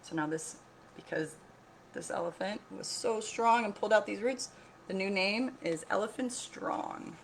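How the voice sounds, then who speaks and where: A woman reading a picture book aloud as a teacher, expressive and instructive, often pausing to put questions to listeners. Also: mid-range voice, gravelly, a little hiss or hum. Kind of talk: read-aloud lesson for children with questions to listeners.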